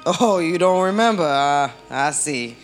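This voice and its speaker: A skeptical, brisk police captain performed by a woman with a lowish voice and lively swings. The questioning is wry and halting, in parody radio-drama style, over faint music. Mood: wry, probing. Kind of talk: comedic parody of classic radio play.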